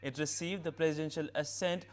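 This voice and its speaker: A man's voice: a little high, fairly even intonation, brisk. A man with an Indian accent reading scripted news as an anchor. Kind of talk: scripted newsreading.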